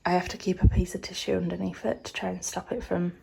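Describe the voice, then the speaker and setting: A woman's voice: fairly low pitch, steady tone, quick pace, gravelly, warm tone, slightly rough. A woman, nasal and stuffed up from a cold, chatting casually as she tours her small home art studio, rambling with plenty of filler words. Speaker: artist vlogger. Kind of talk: casual studio tour vlog.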